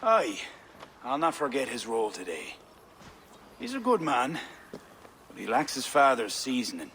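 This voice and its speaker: Solemn, commanding pious king, widely swinging pitch. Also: huge pitch swings, a little slow, fairly bright tone, slight background noise, heavily acted. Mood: grave resolve. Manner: solemn, declamatory. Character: pious medieval Irish high king, grave, commanding. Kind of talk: video game cutscene acting.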